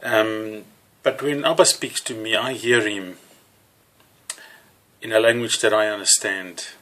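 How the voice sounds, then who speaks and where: Slow, bright-voiced Afrikaans-preacher. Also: male voice, lowish voice, fairly even intonation. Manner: slow, halting, with many fillers and restarts. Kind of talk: sermon.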